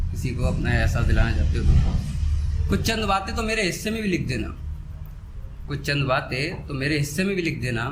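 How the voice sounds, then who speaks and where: A man performing a live poetry recital, repeating couplets with deliberate pauses and speaking to listeners between verses. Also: medium pitch, background noise. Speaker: poet performing on stage. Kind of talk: live Hindi-Urdu poetry recital with spoken asides. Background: soft instrumental background music.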